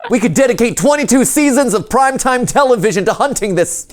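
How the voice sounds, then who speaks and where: A man's voice: extremely high pitch, expressive, quick pace, a little hiss or hum. Man doing playful reaction commentary. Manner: playful, joking. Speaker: host.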